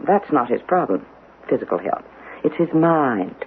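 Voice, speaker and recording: A woman's voice: rumbling low, big pitch swings, moderate pace. A woman playing a polite, worried churchgoer in a 1970s radio drama, speaking in a genteel, careful way. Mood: worried, reticent. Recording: muffled lo-fi radio recording with faint noise.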